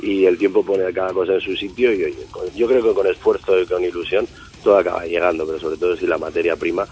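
A male singer answering interview questions in a relaxed, rambling way, thinking aloud with fillers. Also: low-pitched, quick pace, husky. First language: Spanish. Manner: thoughtful, rambling with fillers and restarts. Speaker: singer of a band, interview guest.

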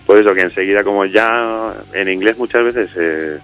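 Low-voiced man musician, easygoing radio guest who rambles and restarts, slightly muffled sound with faint music under it. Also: moderate pace, fairly bright tone. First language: Spanish. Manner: rambling, many fillers and restarts. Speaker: musician guest, singer and songwriter of a band. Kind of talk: casual radio interview.

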